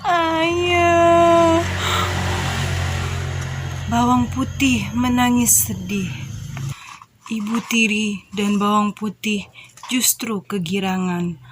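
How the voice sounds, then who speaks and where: A young woman telling a children's folk tale in a slow, expressive storytelling style, with faint noise behind her. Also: medium pitch.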